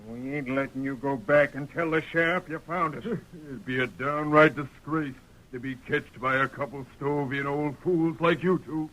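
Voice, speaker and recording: An actor playing a murderous, sneering bank robber with a rough American Western drawl and menacing manner in a 1930s radio western. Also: male voice, on the high side, fairly even intonation, moderate pace, a bit bright, close to an everyday voice, low-quality recording. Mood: gloating. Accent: American, rough Old West drawl. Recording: old 1930s radio recording.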